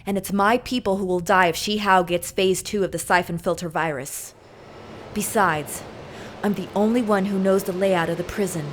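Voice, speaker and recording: Game spy heroine, played by a woman: serious, determined, medium pitch, slight rumbling noise behind. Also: fairly even intonation, moderate pace, natural voice. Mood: resolute. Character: determined woman secret agent on a covert mission. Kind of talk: video game cutscene acting.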